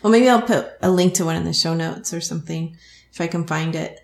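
Texan woman host, lowish voice, chatty explainer, echoey room. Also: brisk, vocal fry, darkish tone. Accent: Texas. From Texas. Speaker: hypnotist and podcast host. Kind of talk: explanatory interview. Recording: roomy echo.

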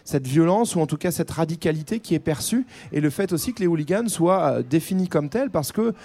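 A man explains social history in a measured, analytical way. His voice is a touch high yet warm and mellow, and he pauses now and then to find his words. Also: lively intonation. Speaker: podcast co-host. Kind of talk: casual history discussion.

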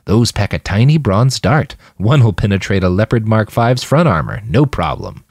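A man's voice: lowish voice, big pitch swings, normal speed, no echo, studio quality, voice pushed up. A man voicing a small, squat male mechanic in an audiobook, explaining weaponry with relish. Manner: matter-of-fact technical explaining with relish. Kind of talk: audiobook character voice.

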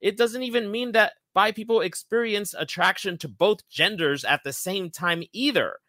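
Young male podcast host, sassy fact-check delivery, bright tone, very dry close mic. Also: high voice, moderate pace, dry recording. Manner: emphatic, sassy, animated. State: recovering from lost voice. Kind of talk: scripted segment read, lively.

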